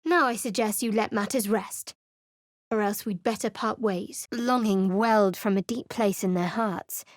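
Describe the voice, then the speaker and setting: A woman's voice: medium pitch, measured pace, dry recording, natural voice. A woman voicing a guarded, sardonic young woman cleric in a studio game, speaking in a dry, curt, secretive way. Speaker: game character.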